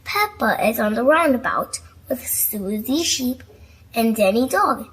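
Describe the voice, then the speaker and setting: A woman reading a bedtime storybook aloud to young children in an expressive, scripted narration style. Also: a little high, measured pace, husky.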